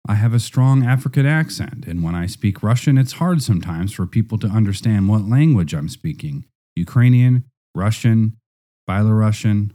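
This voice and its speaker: Deep, very mellow male narrator.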